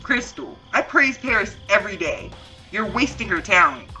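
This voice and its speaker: Woman acting bossy dance coach, bright forceful voice. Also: medium pitch, sing-song, moderate pace, faint music behind, full character voice, low-quality recording. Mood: scolding, indignant. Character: bossy, scolding female dance coach and competitive dance moms. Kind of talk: voiced roleplay acting for an online video series.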